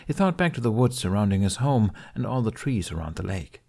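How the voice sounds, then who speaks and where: A man with a lowish voice narrates a fantasy fan fiction. His reading is calm and even, with a steady storyteller's pace. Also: fairly quick, vocal fry. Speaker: podfic reader. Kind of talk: audiobook narration.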